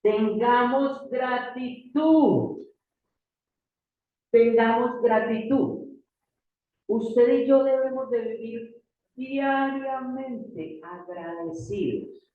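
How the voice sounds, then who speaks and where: A Colombian man with a Paisa accent preaches with a fairly high voice, leaning on repetition and rhetorical questions. He sounds distant from the mic in a very echoey church room. The sound is thin and narrow, like a phone line. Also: extremely slow, pauses often. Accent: Colombian Paisa Spanish. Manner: emphatic, repeats key phrases, asks the audience rhetorical questions. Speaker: pastor. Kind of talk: sermon. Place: church hall.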